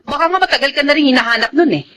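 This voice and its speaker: High-pitched, practical Filipino wife. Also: female voice, animated, racing, fairly bright tone. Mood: scornful, exasperated. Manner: pointed, questioning. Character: practical, sharp-tongued Filipino wife worried about money. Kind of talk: radio drama acting.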